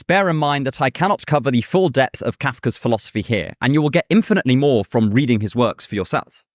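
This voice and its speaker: Lively-voiced man narrating a video essay. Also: medium pitch, dry recording, studio quality. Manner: measured, thoughtful, with a casual aside.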